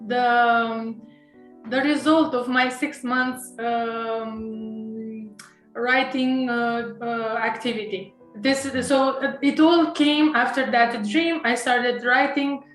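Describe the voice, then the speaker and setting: A woman's voice: a little high, steady tone, slow pace, a bit bright, faint music behind. Hesitant Romanian-accented woman, echoey room. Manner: hesitant, many fillers and restarts, nervous laughter. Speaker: author interviewed as guest. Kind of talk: casual interview conversation.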